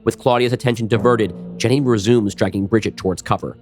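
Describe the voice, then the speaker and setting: Low-voiced male action narrator. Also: steady tone, fast, music in the background. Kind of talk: scripted narration of an action audio drama.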